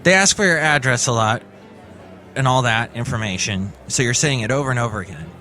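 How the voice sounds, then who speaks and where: A man hosting a podcast with a rambling, self-mocking comic monologue full of asides, with loud music behind. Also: medium pitch, lively intonation, a little fast. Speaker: podcast host. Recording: loud music bed under voice.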